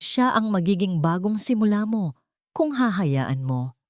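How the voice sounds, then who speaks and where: A woman narrator voicing a calm, loyal male friend who gently reassures, in a story. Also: medium pitch, unhurried, no echo, clean studio sound. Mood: calm reassurance.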